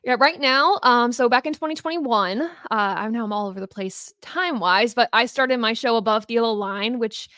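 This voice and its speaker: A woman's voice: a little high, moderate pace, few pauses, fairly bright tone, no echo. Chatty young woman, dry close sound. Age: young adult. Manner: upbeat and chatty, many "like"s. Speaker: podcast guest. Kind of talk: casual interview chat.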